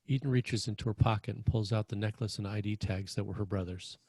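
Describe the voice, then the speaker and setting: Low-pitched, dry-sounding male screenplay narrator. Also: steady tone, fast talker, darkish tone, slightly rough, dry recording. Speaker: stage-direction reader. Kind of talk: scripted narration of screenplay action.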